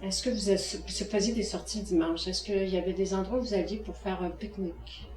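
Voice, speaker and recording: A woman's voice: mid-range voice, fairly even intonation, a little fast, darkish tone. A woman, leading a group interview with polite questions. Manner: polite, brief thanks and prompting questions. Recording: echoey room.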